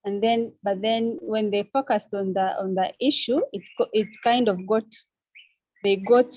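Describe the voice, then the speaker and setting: A young woman from Uganda with a Ugandan accent, a student speaking on a panel, hesitant with many pauses and restarts. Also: mid-range voice, fairly even intonation, a little mellow, no room sound, muffled recording. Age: young adult. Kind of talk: online panel discussion.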